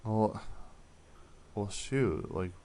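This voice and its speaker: A man's voice: fairly low pitch, expressive, extremely slow, dark tone. A young man making a prank phone call, talking hesitantly and awkwardly with lots of fillers. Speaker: prank caller.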